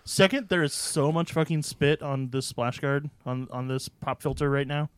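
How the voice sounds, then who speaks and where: A man's voice: a little high, fairly even intonation, moderate pace, clear, resonant tone, no echo. A man co-hosting a comedy podcast, bantering casually with crude, dry humour. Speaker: podcast co-host.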